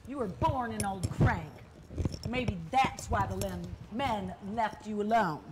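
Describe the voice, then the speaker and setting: An actress playing a stubborn, plaintive younger sister in a stage play. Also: female voice, mid-range voice, slow, background noise, close to an everyday voice. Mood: defiant. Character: defiant, wounded younger sister yearning to change.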